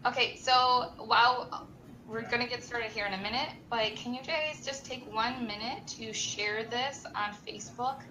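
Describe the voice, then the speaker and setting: A woman's voice: on the high side, steady tone, moderate pace, a crowd in the background, rough recording. A woman hosting a live-stream chat show while sick, her voice strained, chatty and upbeat with many ums as she greets viewers by name. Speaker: show host, marketing director. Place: company studio with a control room.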